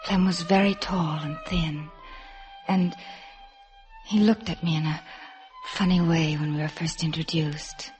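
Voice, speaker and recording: An actress playing a shy, wistful young woman recalling a summer in a halting, artless way in a 1950s radio drama. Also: sits low, steady tone. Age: young adult. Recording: 1950s radio broadcast, soft music behind.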